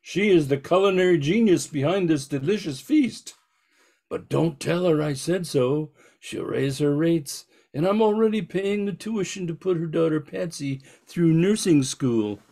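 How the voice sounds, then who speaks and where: Man playing a higher-voiced wealthy uncle. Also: animated, unhurried, dark tone. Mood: genial. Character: wealthy, reclusive, genial uncle. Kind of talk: amateur radio play acting.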